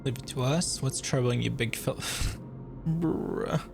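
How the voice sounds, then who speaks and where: A streamer reacting casually to a game and reading its dialogue aloud, expressive, slangy and exclamatory. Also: mid-range voice, takes it slowly, vocal fry. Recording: game music behind the voice.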